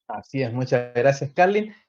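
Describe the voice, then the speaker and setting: A man's voice: mid-range voice, very animated, normal speed. A man with a Chilean accent hosting a live youth Bible study show, upbeat and inviting. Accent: Chilean Spanish. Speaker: host. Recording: dull lo-fi stream audio.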